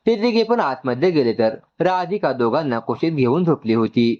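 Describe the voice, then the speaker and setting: Animated man narrating, slightly dull sound. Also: mid-range voice, moderate pace. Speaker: storyteller. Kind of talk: scripted story narration, acting out dialogue.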